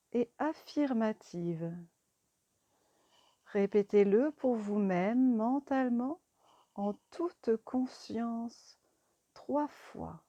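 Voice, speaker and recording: Slow, mellow woman guiding relaxation. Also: mid-range voice, no room sound. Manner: calm, soothing, deliberate instruction. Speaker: yoga nidra teacher. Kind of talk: guided relaxation meditation.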